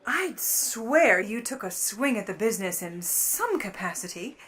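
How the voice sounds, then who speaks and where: An actress playing a poised, mischievous 1950s starlet in a radio play, arch and teasing. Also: female voice, medium pitch, sing-song, a little slow, fairly bright tone. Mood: arch, amused. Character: poised, mischievous Hollywood starlet and secret burglar.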